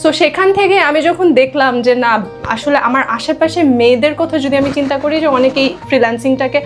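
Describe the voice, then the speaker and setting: A young woman with a Bangladeshi accent and a slightly high-pitched voice talks in a casual interview style. She slips often between Bangla and English phrases. Faint music plays behind her. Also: a little fast. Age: young adult. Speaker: interview guest, trainer and content creator.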